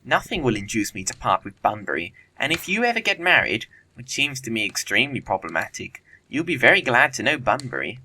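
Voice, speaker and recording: A man playing a witty, flippant young gentleman bachelor in an audio comedy of manners, glib and theatrical in formal speech. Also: mid-range voice, very animated, brisk, few pauses, slight background noise, exaggerated voice, low-quality recording.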